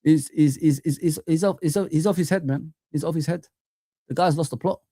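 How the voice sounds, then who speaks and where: A man's voice: on the high side, fairly quick, dark tone, no echo. A young man hosting a live fan chat stream, ranting heatedly and repeating himself.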